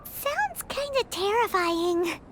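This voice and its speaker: Squeaky, tiny fairy companion. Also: female voice, sing-song, slow pace, heavy background noise, full character voice. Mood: worried. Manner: squeaky, exaggerated, speaks of herself in third person. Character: tiny chirpy childlike female fairy companion. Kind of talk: English dub video game dialogue.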